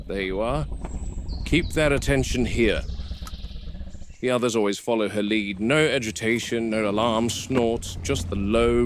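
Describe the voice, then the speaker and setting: A man playing a calm, watchful game warden, speaking in a measured, clinical way as in a scripted field log on a noisy recording. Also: medium pitch, natural voice. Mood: gently soothing. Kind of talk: scripted found-footage horror narration.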